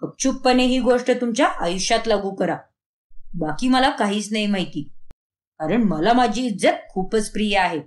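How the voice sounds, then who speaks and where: A woman's voice: mid-range voice, moderate pace, close to an everyday voice. A woman voicing a stern, pompous middle-aged father-in-law, scolding and commanding, in a storybook cartoon. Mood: angry, commanding.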